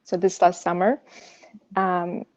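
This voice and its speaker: A woman from Russia, a native Russian speaker, telling a personal story as an interview guest in a halting, searching way. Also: fairly low pitch, flat delivery, darkish tone, muffled recording. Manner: hesitant, halting, frequent "you know". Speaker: podcast guest sharing personal insomnia story; pianist.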